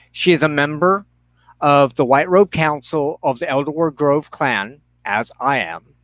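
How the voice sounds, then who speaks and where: Slow, deliberate man hosting a show. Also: fairly high pitch, fairly bright tone, dry recording, rough recording. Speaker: host of a religious broadcast show. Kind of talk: informal livestream introduction and announcements.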